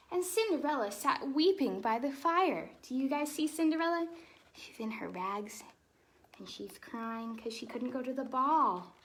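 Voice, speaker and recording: Female storytime host, higher-pitched and very animated, reading a picture book to kids with friendly explanatory asides. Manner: expressive, lively, explaining asides to children.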